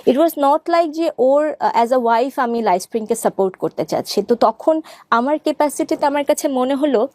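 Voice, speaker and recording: A woman's voice: high-pitched, animated, normal speed, darkish tone, no room sound. A middle-aged Bangladeshi woman speaking earnestly and reflectively as an interview guest, often switching into English. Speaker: interview guest, doctor and parenting content creator. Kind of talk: reflective personal talk in an interview.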